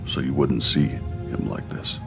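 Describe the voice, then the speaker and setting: Man voicing a very deep gravelly brooding vigilante. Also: flat delivery, measured pace, music in the background, heavily acted. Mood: grave, sorrowful. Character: brooding, grief-stricken masked vigilante hero. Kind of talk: superhero fan dub drama.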